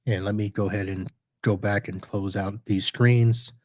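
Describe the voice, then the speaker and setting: A man with a lowish voice talks through a live screen-share demo at his computer, chatty and loose, often correcting himself mid-sentence. The sound is dry and close, with no room echo. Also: little pitch movement, moderate pace. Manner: chatty, self-correcting, thinks aloud while clicking. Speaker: podcast host reviewing network gear.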